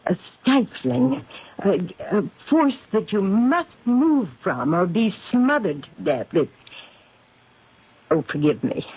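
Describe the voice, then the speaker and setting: A troubled, husky medium lady. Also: mid-range voice, full character voice. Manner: halting, dramatic, intense. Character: troubled, gracious lady medium, aunt of a young man. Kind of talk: old radio drama acting. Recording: muffled old radio recording.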